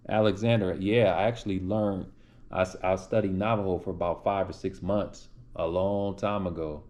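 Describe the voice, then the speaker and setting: A man's voice: low-pitched, fairly even intonation, moderate pace, darkish tone. A man hosting a casual live stream, answering viewer chat in a loose, rambling way with many restarts. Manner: reads out and replies to chat names.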